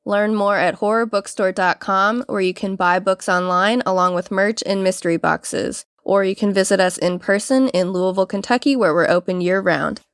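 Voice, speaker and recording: A woman's voice: mid-range voice, normal speed, few pauses, clear, resonant tone, dry recording, studio quality. A woman reading a scripted advertisement in an upbeat way. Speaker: ad announcer. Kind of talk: scripted ad read.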